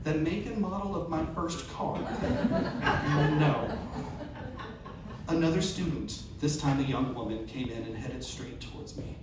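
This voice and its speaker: A man with a slightly high-pitched voice reads a comic personal story to a live audience. His delivery is performed, acting out the lines. The sound is very reverberant and picked up from a distance, with clear background noise. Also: unhurried. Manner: performed delivery, acting out dialogue and self-questions. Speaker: reader at a live storytelling event. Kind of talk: reading a humorous first-person story aloud to an audience. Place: live event venue. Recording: echoey, noisy room recorded from far off.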